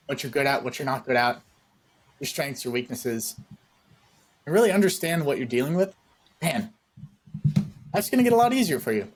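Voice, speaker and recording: A man's voice: fairly high pitch, darkish tone. A man co-hosting a podcast, talking in a casual, encouraging, motivational way. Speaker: podcast co-host.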